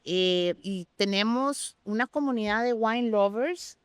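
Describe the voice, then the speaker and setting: An expressive woman speaks as an interview guest. Her voice is smooth and clean, recorded close and dry. She explains things with open enthusiasm, in a conversational way. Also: a little high, slow pace, dry recording. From Costa Rica. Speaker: guest sommelier.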